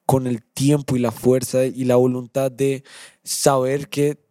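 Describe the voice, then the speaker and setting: A man's voice: medium pitch, flat delivery, measured pace, dark tone, dry recording, studio quality. A young man with a Colombian accent, a band member guest, musing earnestly in casual conversation and repeating phrases as he thinks. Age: young adult. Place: podcast studio.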